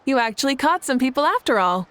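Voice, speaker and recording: A woman voicing a confident adult female ruler who speaks in a relaxed, gently teasing way in a game cutscene. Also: sits high, quick pace, slight background noise. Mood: amused. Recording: game score music underneath.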